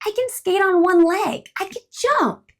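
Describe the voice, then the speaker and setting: A woman voicing an excitable, curious little male squirrel in a picture-book reading, exclamatory and childlike. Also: unhurried. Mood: excited. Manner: exclamatory, high and loud, childlike questions. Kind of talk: storybook read-aloud voice.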